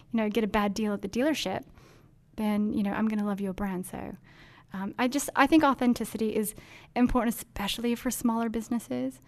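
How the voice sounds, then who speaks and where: Chatty, earnest woman with a higher voice. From Denmark. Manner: chatty, earnest, many ums and likes. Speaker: marketing executive. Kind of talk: interview answers.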